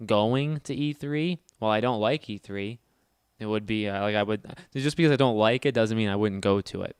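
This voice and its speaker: A man hosting a casual gaming livestream, talking fast and loud with a nasal voice and rambling as he answers chat. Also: lowish voice, clear, resonant tone, dry recording, clean studio sound. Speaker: livestream host.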